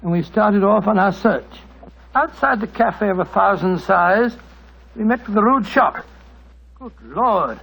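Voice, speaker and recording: An actor playing an earnest, flustered English gentleman sidekick in an old-time radio drama, with a hesitant, blustery, proper manner. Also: sits high, expressive, measured pace, creaky, husky, heavily acted. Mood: earnest, alarmed. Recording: noisy old radio broadcast.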